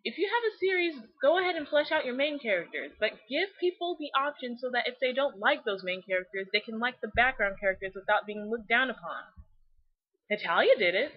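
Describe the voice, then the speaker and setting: A woman's voice: on the high side, expressive, brisk, slightly rough. A young woman hosting a fan video with scripted commentary, sarcastic, exaggerated and profane, on a lo-fi mic. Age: young adult. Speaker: host of a fan video series.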